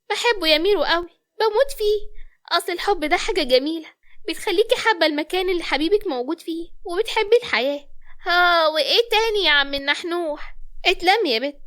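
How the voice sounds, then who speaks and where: Woman acting a very high-pitched young Egyptian sweetheart. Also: a bit bright. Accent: Egyptian Arabic. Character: young women in love, bashful, sassy, easily offended. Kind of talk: acted Egyptian romance audio story.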